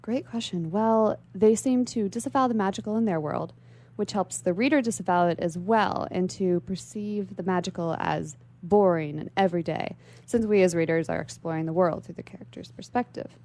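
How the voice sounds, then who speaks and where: A young woman with a slightly low voice, speaking as a radio interview guest. She explains things in a bookish, articulate way, building long and prepared-sounding sentences. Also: moderate pace, darkish tone. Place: radio station studio.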